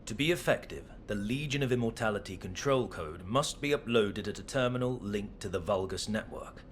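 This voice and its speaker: An actor playing a grave, calculating male schemer in a video game, speaking in a solemn, measured, deliberate way. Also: fairly low pitch, expressive, slight background noise, close to an everyday voice. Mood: urgent, commanding. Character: grave, calculating male schemer staking his life on revenge. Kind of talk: dramatic video game dialogue.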